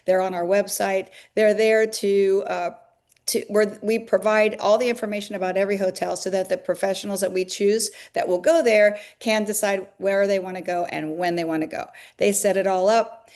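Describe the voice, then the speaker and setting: A woman's voice: mid-range voice, fairly even intonation, normal speed, a little mellow. Chatty upbeat lady in her 50s, video call interview, lots of uh's. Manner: upbeat, talkative, frequent fillers. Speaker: guest, fitness company founder. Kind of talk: webinar interview.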